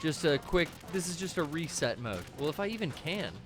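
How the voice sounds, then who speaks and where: A man in his thirties talking through a live game stream in a slightly high voice that swings up and down a lot. He laughs often and swears freely, with game music playing clearly behind him. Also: expressive, fairly quick. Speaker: streamer co-host. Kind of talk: casual gameplay commentary.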